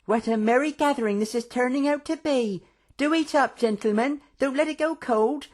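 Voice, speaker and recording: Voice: high voice, normal speed, a little mellow, voice pushed up, natural voice. A reader voicing a motherly, hospitable hostess who fusses and chatters. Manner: fussy, chatty. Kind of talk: audiobook character voice.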